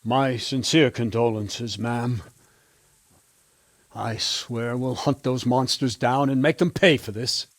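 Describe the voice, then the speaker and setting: An actor playing a grimly determined medieval captain in a video game, authoritative and blunt. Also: male voice, mid-range voice, fairly even intonation, slow pace, dry recording. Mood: grim sympathy. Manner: authoritative, blunt, oath-swearing. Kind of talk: dramatic video game acting.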